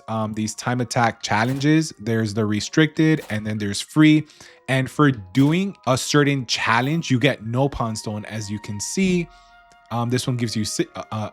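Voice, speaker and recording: A man giving a casual, conversational gaming video voice-over with slangy, dry humour. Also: mid-range voice. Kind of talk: casual game review voice-over, part scripted, part off the cuff. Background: music.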